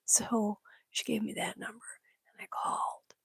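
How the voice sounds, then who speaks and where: A woman from Michigan whispering in casual chat with her livestream viewers, breathy and laughing often. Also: measured pace, a bit bright, no echo. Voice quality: breathy, gravelly. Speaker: livestream host.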